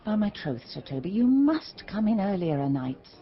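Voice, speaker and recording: A woman as a lively scolding gentlewoman servant. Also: medium pitch, normal speed, lo-fi sound, close to an everyday voice. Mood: chiding. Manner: chiding, sharp-tongued. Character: brisk, scolding serving gentlewoman. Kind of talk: radio Shakespeare drama acting.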